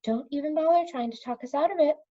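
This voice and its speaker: A woman's voice: sits high, fast, mellow, highs cut off, pitched above the performer's own voice, close to an everyday voice. A woman voicing a blunt, stubborn young princess in a storybook, forthright in manner.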